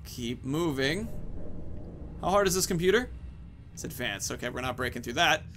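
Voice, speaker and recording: A man's voice: mid-range voice, animated, normal speed, a bit bright, faint music behind. A man in his thirties giving casual, informal, rambling commentary as he hosts a video game playthrough. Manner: rambling, informal, swears mildly. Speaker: gaming video host.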